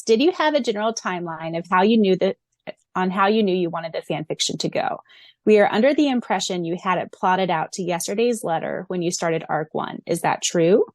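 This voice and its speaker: A woman's voice: on the low side, lively intonation, normal speed, darkish tone, no room sound. A woman co-hosting a casual fan podcast who reads listener questions aloud and laughs often. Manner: giggly, laughs often between remarks. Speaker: podcast co-host.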